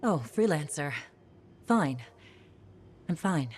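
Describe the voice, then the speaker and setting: An actress playing a gentle, confused woman in video game dialogue who speaks hesitantly about uncertain memories. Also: deep voice, lively intonation, breathy edge, full character voice. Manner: hesitant, halting, soft.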